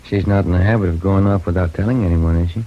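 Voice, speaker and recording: An actor playing a polite, plain-spoken American police detective with a courteous, low-key manner in a 1950s radio drama. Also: male voice, fairly even intonation, fast, lightly acted. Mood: probing. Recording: muffled, faintly noisy 1950s radio recording.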